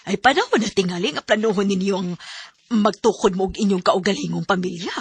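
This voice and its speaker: A woman's voice: medium pitch, a little fast, husky. An actress with a Cebuano Filipino accent playing a pragmatic middle-aged mother in a Bisaya radio drama. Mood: approving, coaxing. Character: pragmatic middle-aged mother nudging her daughter to marry.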